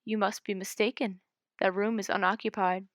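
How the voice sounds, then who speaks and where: A woman's voice: mid-range voice, flat delivery, moderate pace, fairly bright tone, no room sound, studio quality, close to an everyday voice. A woman voicing a wary male hotel room clerk who speaks in a guarded, insistent way.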